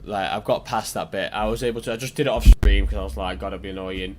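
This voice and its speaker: A British man streams a game in a lowish, hoarse voice that is giving out. He talks casually and loosely to his viewers, with the odd swear word. There is noise behind him. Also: fairly even intonation, quick pace. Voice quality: hoarse, strained voice. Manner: informal, sweary. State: losing his voice. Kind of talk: casual livestream chat while gaming.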